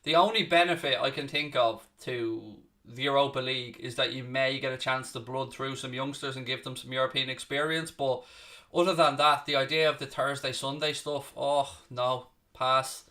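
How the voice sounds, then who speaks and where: A man's voice: medium pitch, steady tone, moderate pace, pushed and bright. Chatty Dublin Irishman calling a match. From Ireland. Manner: chatty, blunt, answers viewer questions between play-by-play calls, occasional swearing. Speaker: host of a live football watchalong. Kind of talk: casual live match commentary and chat with viewers.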